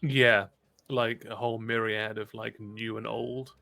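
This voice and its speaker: Gravelly, bright-voiced man running a game. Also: fairly low pitch, little pitch movement, no echo. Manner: halting, conversational delivery. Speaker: game master. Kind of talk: improvised tabletop game narration.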